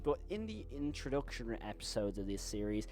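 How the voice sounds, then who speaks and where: A man's voice: mid-range voice, sing-song, a little fast, darkish tone. A man hosting an online horror commentary, recapping episodes in a wordy, rambling way with emphatic repeated words. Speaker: YouTube horror commentator and host.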